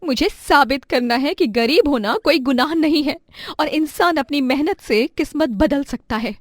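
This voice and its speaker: A woman's voice: high voice, lively intonation, moderate pace, no echo, studio quality. A woman playing a poor, determined young girl student in a story drama. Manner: earnest and pleading.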